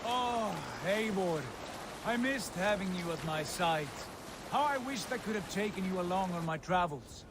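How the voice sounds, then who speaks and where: An actor playing a proud, bold Viking prince in a video game, with a grand, declamatory delivery. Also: male voice, sits high, expressive, measured pace. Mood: fond, confident. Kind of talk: video game cutscene acting. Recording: clear water and outdoor ambience noise.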